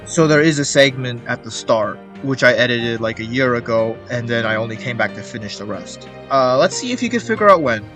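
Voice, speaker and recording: A young man chatting in a casual vlog, rambling with joking, self-deprecating asides. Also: medium pitch. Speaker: YouTuber.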